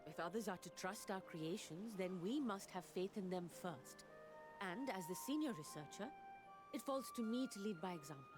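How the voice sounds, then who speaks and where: An actress playing a poised, earnest senior researcher in a video game, with formal, precise, composed speech. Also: female voice, mid-range voice, lively intonation, moderate pace, music in the background, natural voice. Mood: determined. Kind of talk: dramatic video game acting.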